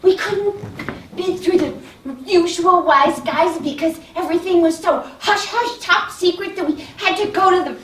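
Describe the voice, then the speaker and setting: An actress playing a gleeful, scheming sea goddess with a coy, theatrical delivery in a live stage comedy. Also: female voice, very high voice, moderate pace, a bit bright. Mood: sly. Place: small playhouse stage, live performance. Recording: distant echoey theater recording, faint noise.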